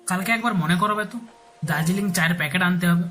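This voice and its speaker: A man playing a devoted Bengali husband in a romantic audio story, teasing and gently romantic. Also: sits high, quick pace. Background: soft background music.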